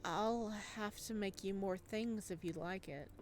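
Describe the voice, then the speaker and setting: Woman voicing a slow, brooding cabin man. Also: mid-range voice, darkish tone, slight background noise, natural voice. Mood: shy, tender. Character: shy, intense young mountain cabin man, brooding.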